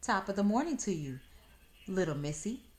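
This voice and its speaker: A woman's voice: medium pitch, very animated, normal speed, voice pushed up, natural voice. Woman voicing a pompous, sly storybook fox. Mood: courtly greeting. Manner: formal, condescending, affronted diction. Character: sly, pompous, smooth-talking male fox. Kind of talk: storybook read-aloud.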